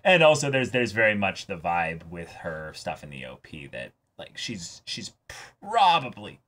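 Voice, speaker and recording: A man co-hosting a reaction show, chatting casually and in a rambling, animated way with some casual swearing. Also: sits low, measured pace. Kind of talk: casual anime discussion chat.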